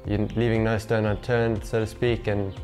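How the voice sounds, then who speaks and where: Low, level-voiced young man over music. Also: level tone, moderate pace, a little mellow. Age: 20s. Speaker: professional golfer being interviewed. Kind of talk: casual interview talk to camera. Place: small home gym room, indoors. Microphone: lapel. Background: background music.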